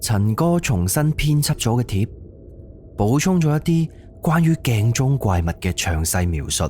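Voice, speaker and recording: A man's voice: mid-range voice, very animated, a little slow, darkish tone, slight background noise. A man with a Cantonese accent narrating a horror mystery audio drama in a dramatic storytelling style.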